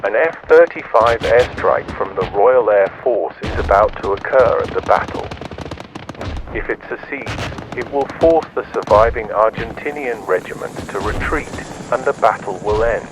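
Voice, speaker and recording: A man's voice: lowish voice, expressive, normal speed, background noise, natural voice. A man playing a grave announcer reading a scripted emergency alert in a formal, commanding manner. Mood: stern, urgent, then grave, instructive. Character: grave male emergency broadcast announcer in a fictional war.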